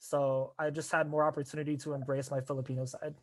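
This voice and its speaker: A young man, a former club advisor, talking in an easygoing, unhurried way on a casual podcast. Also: fairly high pitch, little pitch movement, no echo. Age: young adult.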